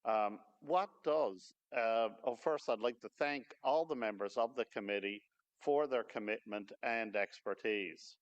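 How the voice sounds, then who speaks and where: A man gives a formal address at a podium microphone in a large city hall space. He has a loud voice and often hesitates on fillers like "uh.". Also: mid-range voice, measured pace, dull audio. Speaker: advisory committee member. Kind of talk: formal public speech.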